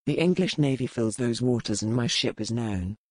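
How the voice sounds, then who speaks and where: A stern pirate captain, as a woman reader lowers her voice. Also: lively intonation, a little mellow, slightly rough, dry recording, full character voice. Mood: grave. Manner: measured, formal speech. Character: stern male pirate captain, grave and resolute. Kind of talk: audiobook character voice.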